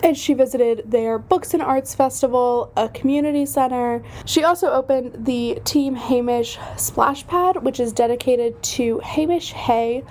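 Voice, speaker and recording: Chatty young woman, a little hoarse. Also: high-pitched, unhurried, few pauses, darkish tone, a little hiss or hum. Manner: chatty, self-deprecating, many fillers. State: slightly hoarse from a cold. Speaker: podcast host. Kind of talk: casual solo podcast chat.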